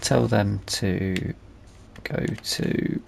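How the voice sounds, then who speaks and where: A British man giving casual, unhurried let's-play commentary, thinking aloud with chatty tag questions. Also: steady tone, vocal fry. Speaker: gaming video host. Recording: engine rumble and noise behind voice. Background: farming game vehicle sounds.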